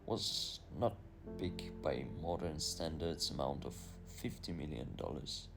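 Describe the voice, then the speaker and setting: A man reading a scripted film review voice-over in a grave, measured way. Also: extremely low pitch, over music.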